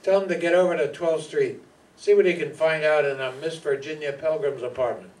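A man's voice: fairly high pitch, fairly quick, darkish tone, close to an everyday voice. An older man acting a wry, hardboiled private detective in a stage radio play, narrating and questioning. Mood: confident. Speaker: amateur radio play actor. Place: small hall stage, indoors. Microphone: stand microphone, recorded by far camera. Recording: noisy, distant hall PA recording.

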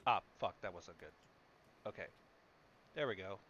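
Lively, muffled voice reacting over music. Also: deep voice, moderate pace. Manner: swears casually. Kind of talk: casual reaction chat, reading on-screen text aloud. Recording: dull lo-fi mic, loud music behind.

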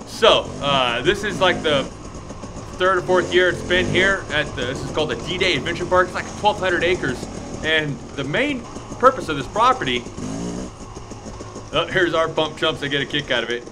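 A young man riding a dirt bike on a wooded trail, rambling excitedly about a race he rides in. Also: a little high, normal speed, over music, low-quality recording. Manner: excited, rambling, cheering on riders. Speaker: motovlogger. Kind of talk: casual race motovlog commentary. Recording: helmet cam with loud engine noise.

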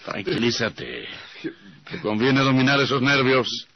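An actor playing a cool, controlled gangster boss giving menacing orders in a radio play. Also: male voice, medium pitch, fairly even intonation, unhurried. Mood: cool, commanding. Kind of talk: radio theatre acting. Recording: dull, noisy old radio drama recording.

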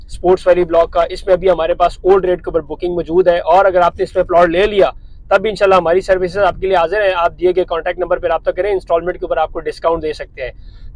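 Man, 30s, from Pakistan, slightly high voice, friendly salesman chat, driving vlog, lapel mic in a car. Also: rough recording. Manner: chatty salesman tone, addresses viewers directly. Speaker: property dealer vlogger. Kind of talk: driving tour commentary. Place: inside a moving car.

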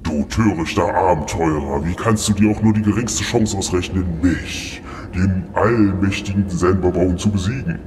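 A man acts out a boastful evil wizard with a very low voice. He taunts in a slow, pompous, theatrical way, menacing every word. Thunder and clear noise sit behind the voice. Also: natural voice. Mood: gloating menace.

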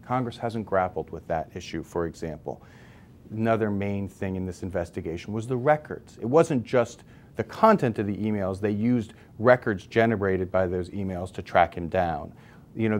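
A man in his forties with an American accent, an expert guest giving conversational explanations with emphasis on key words. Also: low-pitched. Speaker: expert guest, civil liberties advocate. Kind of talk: explanatory interview answers. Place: newsroom studio, seated at table. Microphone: lapel.

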